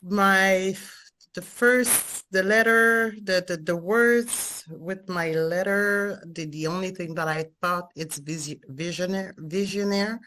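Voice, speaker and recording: A woman with a French Canadian accent speaks at a very slow, careful pace, her voice sitting a little low. She hesitates often, filling the gaps with small fillers while she reaches for English words. It sounds like a casual introduction on a group video call. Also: fairly bright tone, a little hiss or hum. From Montreal, Canada. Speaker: remodeling franchise owner, meeting participant.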